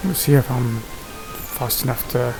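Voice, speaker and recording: A man's voice: medium pitch, little pitch movement, a little slow, creaky, low-quality recording. A man giving casual, hesitant gameplay commentary, muttering to himself as he plays. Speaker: gamer narrating own play. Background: game music and sound effects.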